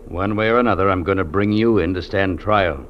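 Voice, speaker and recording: An actor playing a stern, unyielding Mountie sergeant, speaking curtly in 1950s radio drama style. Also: male voice, low-pitched, brisk, natural voice. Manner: curt, stern, blunt. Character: hard, unyielding Mountie sergeant, adult man. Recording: dull, noisy old radio recording.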